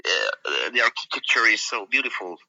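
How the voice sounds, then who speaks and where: Man with a very bright, forceful voice. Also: fairly low pitch, very animated, vocal fry, no room sound. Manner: eager, laughing, quick bursts. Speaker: co-host planning a film. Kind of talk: casual planning chat. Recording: dull, dry lo-fi recording.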